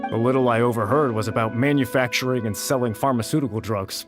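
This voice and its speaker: A man's voice: mid-range voice, quick pace, over music, lightly acted. Blunt, disgruntled male researcher played by an actor. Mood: matter-of-fact. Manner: blunt, dismissive. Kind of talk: video game character acting.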